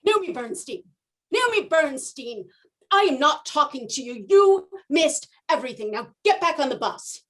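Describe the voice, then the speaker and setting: High female voice, bossy tourist chaperone, played by an actress, exasperated scolding, emphatic stage comedy delivery. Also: sing-song, normal speed, a bit bright.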